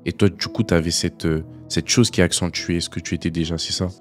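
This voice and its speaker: Deep-voiced male host asking questions. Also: fairly even intonation, brisk, faint music behind. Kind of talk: interviewer in a faith talk.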